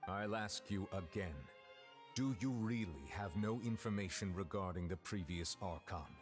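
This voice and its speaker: An actor playing a stern, solemn adult male judge in a video game, speaking formally and in measured phrases. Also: low-pitched, natural voice. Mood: grave, questioning. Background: orchestral game music.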